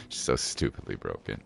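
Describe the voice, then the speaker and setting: A man streaming a game, chatting casually in a slangy, jokey, rambling way. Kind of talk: casual livestream chat over gameplay. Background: game music.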